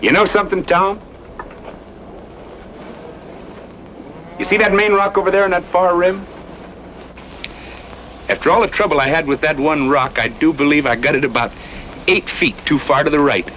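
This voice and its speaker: Cocky Western gunfighter, easygoing drawl, folksy and fatalistic, slightly noisy radio drama sound. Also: male voice, a little high, brisk, bright, forceful tone. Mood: musing, puzzled. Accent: American Western drawl.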